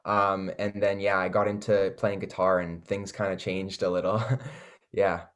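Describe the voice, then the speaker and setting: A young man with a Canadian accent talks as a musician being interviewed. His voice is a bit low, and his delivery is laid-back, casual and full of slang. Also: completely flat delivery, normal speed, clean tone. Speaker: singer-songwriter guest.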